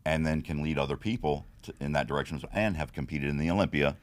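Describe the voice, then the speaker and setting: A man in his fifties with an extremely low voice that has a raspy edge. He tells his story steadily and frankly, with many small "you know"s. He speaks as a guest in a casual podcast interview. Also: quick pace, no echo. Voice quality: deep and raspy.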